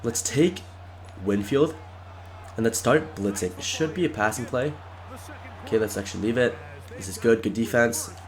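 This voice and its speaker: A congested man narrating his own video game match live, excitable and shouting at close plays. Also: mid-range voice, moderate pace, pauses often, rough recording. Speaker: gaming YouTuber. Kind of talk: live gameplay commentary.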